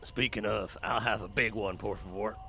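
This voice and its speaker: A man playing a gruff, weary, hard-drinking character in an audio drama, with a gravelly voice and a sardonic, folksy way of talking. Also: on the low side, fairly even intonation, moderate pace, bright, forceful tone, slight background noise. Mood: wry.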